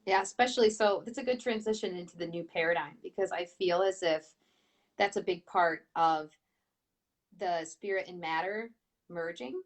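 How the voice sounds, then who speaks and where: A woman with a nasal Michigan accent hosting a show, chatty and quick to laugh. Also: on the low side. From Michigan, USA. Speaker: podcast host. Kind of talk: casual interview hosting.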